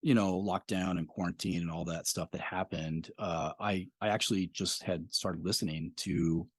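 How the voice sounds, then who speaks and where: A man's voice: low-pitched, steady tone, normal speed, husky. A man in his fifties, a podcast creator talking as a guest in a casual interview, rambling with many fillers.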